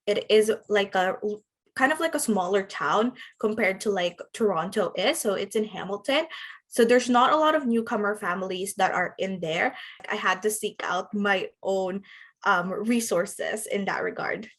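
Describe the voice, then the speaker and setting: A woman's voice: medium pitch, normal speed, a bit bright. Young woman, hesitant interview answers. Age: 20s. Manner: hesitant, frequent "like" and "um". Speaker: interviewee, social worker. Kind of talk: casual interview storytelling.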